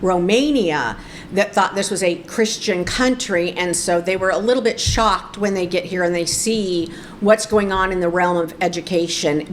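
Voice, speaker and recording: A woman's voice: lowish voice, slight background noise, rough recording. Firm, passionate middle-aged woman. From Colorado. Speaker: guest, advocate. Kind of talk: interview.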